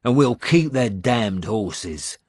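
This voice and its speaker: A man's voice: fairly low pitch, expressive, slow, darkish tone, slightly rough, no room sound, studio quality, pitched above the performer's own voice, heavily acted. A man voicing a boastful, cruel warlord in an audiobook, snarling and sneering as he talks. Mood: scornful. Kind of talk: audiobook character acting.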